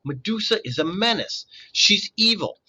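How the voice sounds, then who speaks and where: A brainy teenage girl speaks fast and urgently, her voice pitched up and bright, as a male narrator puts her on. She sounds bossy and matter-of-fact, like a heroine in a storybook. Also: animated, husky, exaggerated voice, rough recording.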